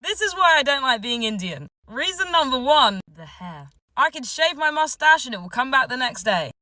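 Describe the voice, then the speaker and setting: A young woman telling a comic story to the camera in an animated, self-mocking way. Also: sits high, normal speed.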